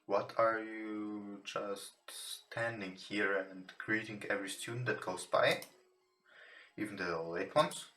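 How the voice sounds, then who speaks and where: A man who is not a native English speaker, reading visual novel text aloud for a let's play, somewhat haltingly. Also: fairly low pitch, fairly even intonation, bright, forceful tone. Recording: roomy echo with music behind.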